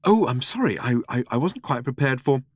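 A man's voice: medium pitch, very animated, brisk, breathy edge, no room sound, studio quality, pitched above the performer's own voice. A man voicing a bewildered, easily startled, apologetic man in an audiobook. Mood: startled, embarrassed. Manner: stammering, questioning. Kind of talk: audiobook character voice.

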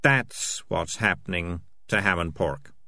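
A man's voice: deep voice, a little slow, gravelly, pushed and bright, heavily acted. A man voicing a shifty, self-serving male cat in a storybook, hesitant and evasive with stammered excuses. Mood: uneasy.

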